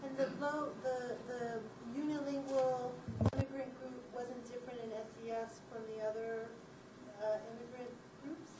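Brief: female session moderator, husky and airy, high and soft-toned. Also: level tone, moderate pace, pauses often, low-quality recording.

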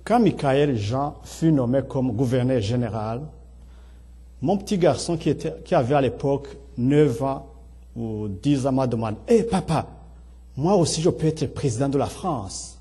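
A middle-aged man with a Burundian accent and a husky voice, giving an earnest, explanatory public speech with halting, repeated phrases. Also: mid-range voice, expressive, dark tone. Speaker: speaker at a public talk on integration. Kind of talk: public speech, partly improvised.

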